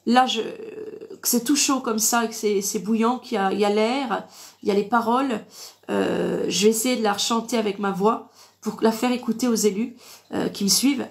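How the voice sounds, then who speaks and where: A woman with a congested, blocked-nose voice giving informal Bible teaching on a livestream, didactic and repetitive, punctuating points with amens. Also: fairly high pitch. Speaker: online preacher. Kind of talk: informal live Bible teaching with verse reading.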